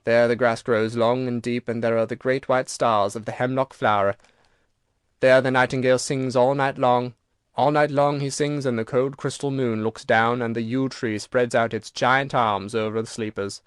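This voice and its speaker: A man reading aloud and voicing a lonely, weary old ghost, speaking low and dreamily. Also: fairly even intonation, normal speed, smooth, dry recording, studio quality, close to an everyday voice. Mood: dreamy. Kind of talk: audiobook reading.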